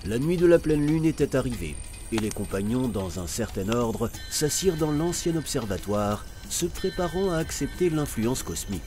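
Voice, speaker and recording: A male narrator reads a scripted tale for a cartoon. His intonation rises and falls expressively. Music plays clearly beneath his voice. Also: medium pitch, sing-song, moderate pace, hardly any pauses. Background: music under the voice.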